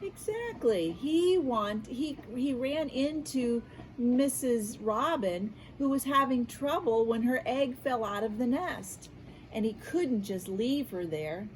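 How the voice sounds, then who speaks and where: An American woman, a school media specialist, reading aloud and teaching young children in an expressive, encouraging, question-asking way. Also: a little high, a little hiss or hum, low-quality recording. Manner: expressive, encouraging, asks questions and pauses for answers. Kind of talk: read-aloud storytelling and lesson for young children.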